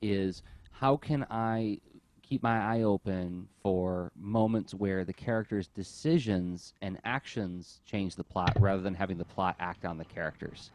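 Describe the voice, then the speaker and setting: A man's voice: deep voice, unhurried, a little mellow, a little hiss or hum. A man hosting a casual podcast discussion, talking in a joking, engaged way and checking in with his listeners. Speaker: podcast host.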